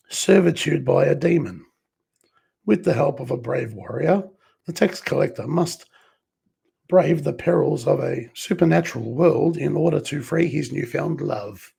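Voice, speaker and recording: A croaky man with a very gravelly, raspy voice, clearly a little under the weather. He talks slowly and clears his throat now and then as he walks through a disc collection, reading the back covers aloud. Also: fairly high pitch, warm tone. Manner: slow, clears throat often. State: hoarse, a bit under the weather. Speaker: collector reviewing a film set.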